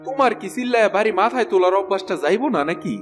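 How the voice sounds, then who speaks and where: An actor playing a naive, self-satisfied village farmer and husband in a cartoon, with a rural Bangladeshi Bengali accent. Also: male voice, high voice, rapid-fire, over music, natural voice. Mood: fretful, naive. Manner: naive, earnest, boastful. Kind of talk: cartoon voice acting.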